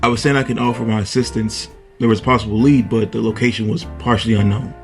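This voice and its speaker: An actor playing a courteous, earnest, slightly hesitant newly transferred police officer in a 1920s comic drama. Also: male voice, fairly low pitch, fairly even intonation, fast talker, faint music behind, natural voice. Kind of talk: comic dub character acting.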